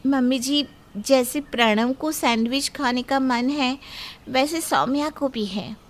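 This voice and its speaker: A woman voicing a young mother who calmly stands up for her daughter. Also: high-pitched, takes it slowly. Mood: earnest.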